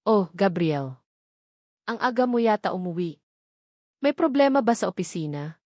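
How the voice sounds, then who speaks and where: A woman's voice: mid-range voice, expressive, normal speed, dark tone, no echo, studio quality, natural voice. A woman voicing a haughty, scornful older mother of a wealthy family in a story, cold and dismissive. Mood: calm.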